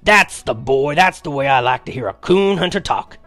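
An old country grandfather from a storybook, acted out by a man, kindly and easily excited. He talks in a folksy, emphatic way, often lifting well above a plain speaking pitch. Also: brisk, dry recording, soft background music. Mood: delighted.

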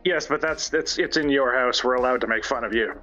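A man guesting on a stream, trading casual banter with deadpan jokes. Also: mid-range voice, a little fast, dull audio, faint music behind.

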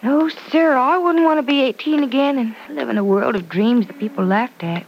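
A woman's voice: sits high, moderate pace. A thoughtful young woman in an old radio serial, speaking earnestly and musing on life. The transcription sounds dull and lo-fi, with a faint hiss underneath. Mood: reflective. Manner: earnest, reflective, light laughs. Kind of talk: 1940s radio drama acting.

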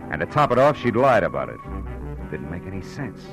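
An actor playing a tough, wry American private detective in 1940s radio style, clipped and wisecracking. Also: male voice, low voice, big pitch swings, a little fast. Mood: terse narrating. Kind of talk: 1940s radio detective acting and first-person narration. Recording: old radio drama with music behind.

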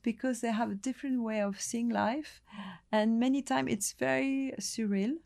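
Gravelly-voiced middle-aged woman. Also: on the high side, fairly even intonation, moderate pace, a little mellow. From Lebanon. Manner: hesitant, many restarts and fillers, chatty storytelling. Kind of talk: relaxed interview conversation.

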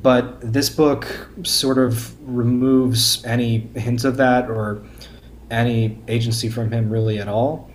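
A man in a casual fan discussion, speaking haltingly as a podcast panelist and often repeating words. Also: mid-range voice, flat delivery. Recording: faint background noise.

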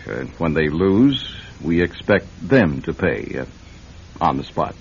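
A man's voice: low voice, very animated, measured pace, heavily acted. A man playing a courteous but firm casino manager in an old-time radio drama, hesitant and insinuating in manner. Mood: polite, firm. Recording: muffled, noisy old radio recording.

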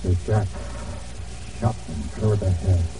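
An actor in an old radio drama playing a loyal, grave island rancher with halting, deliberate, formal phrasing. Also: male voice, deep voice, steady tone. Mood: sorrowful. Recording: muffled old radio, distant, faint noise.

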